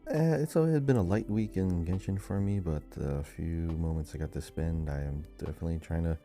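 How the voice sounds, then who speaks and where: Low, very mellow male podcast co-host. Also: brisk, faint music behind. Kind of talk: casual conversational podcast chat.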